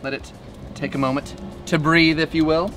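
A man's voice: on the high side, steady tone, normal speed, a bit bright. A man in his thirties hosting a casual cooking show, bantering in a joking, self-deprecating way. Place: outdoor covered patio griddle kitchen. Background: music, food sizzling.

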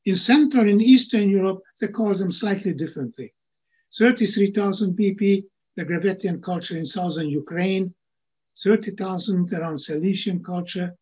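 Older guy reading slides on a video call, high husky voice, dull lo-fi sound. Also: a little mellow. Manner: reads slide text, adds plain asides. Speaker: lecturer. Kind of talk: reading lecture slides with asides. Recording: muffled lo-fi video call audio.

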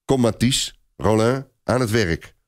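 Man playing a gruff, unyielding medieval war commander. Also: medium pitch, expressive, measured pace, lightly acted. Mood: brusque, snapping. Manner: unyielding, snapping.

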